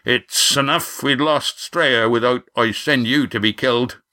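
A man acts out a hearty, gruff skipper in a seafaring storybook. His speech is rustic and clipped, with the weight of someone used to giving orders on deck. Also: medium pitch, a little slow, pushed and bright, voice pushed up. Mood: remorseful. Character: gruff, huge-voiced commanding sailing skipper, adult man. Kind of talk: audiobook character acting.

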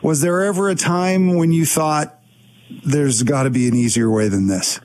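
A man hosting a radio interview, asking questions in a gentle, conversational way. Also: fairly high pitch, normal speed. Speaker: radio host.

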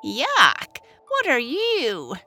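Woman doing a shrill lonely old witch. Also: wildly swooping pitch, very slow, bright, forceful tone, faint music behind, exaggerated voice. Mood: cranky.

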